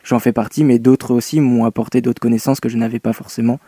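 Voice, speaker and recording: A young man in his twenties, talking casually in an interview and often pausing with fillers. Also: medium pitch, little pitch movement, warm tone, no room sound. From France. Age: 20s. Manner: hesitant, many fillers and restarts. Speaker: guest, young filmmaker.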